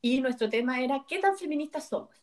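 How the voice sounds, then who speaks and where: A woman in her forties hosts a relaxed podcast conversation. She talks in a chatty, easygoing way and laughs often. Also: sits high, quick pace. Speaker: podcast co-host. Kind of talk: casual podcast chat.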